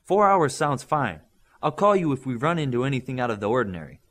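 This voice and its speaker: A man reading an audiobook, voicing a measured, responsible young man in charge. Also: mid-range voice, big pitch swings, fairly bright tone, voice pushed up. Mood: steady.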